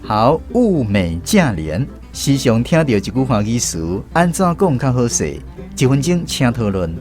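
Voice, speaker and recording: Male radio quiz host, Taiwanese accent, animated intonation, light music underneath. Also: medium pitch, unhurried. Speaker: host of a language-teaching radio segment. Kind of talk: quiz hosting, guiding discussion. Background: music bed.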